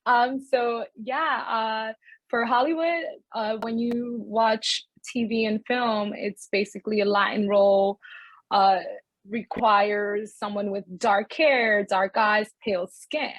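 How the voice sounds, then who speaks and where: A woman's voice: on the high side, steady tone, takes it slowly, no echo, low-quality recording. A woman in her thirties, an actor, answering interview questions candidly from home over a video call. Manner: candid, frank, with light self-aware laughs. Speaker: actor guest. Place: home room.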